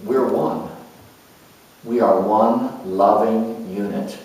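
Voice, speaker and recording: A man playing an earnest, nurturing parent turned spiritual counselor in a dramatic monologue, speaking in halting, reflective phrases. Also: lowish voice, a little mellow. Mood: serene, devout. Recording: very echoey room, distant microphone.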